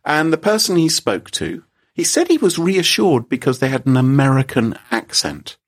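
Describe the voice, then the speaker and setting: A man's voice: fairly high pitch, sing-song, normal speed. A British man co-hosting a podcast, telling a story in a theatrical, teasing way. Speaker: podcast co-host.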